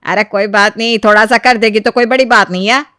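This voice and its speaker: A woman's voice: fairly high pitch, steady tone, a little fast, pushed and bright, clean tone. A woman playing a domineering, spiteful middle-aged mother-in-law in a story, scolding and bossy. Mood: contemptuous. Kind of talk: Hindi moral story voice acting.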